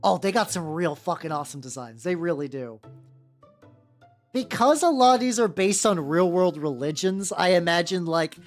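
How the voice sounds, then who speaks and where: A woman rambling through a casual livestream, her pitch bouncing up and down in a lively way. She swears freely and answers her chat as it comes in. Faint music plays underneath her. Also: normal speed. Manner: rambling, chatty and profane, reacting to chat. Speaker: VTuber streamer painting miniatures. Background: faint background music.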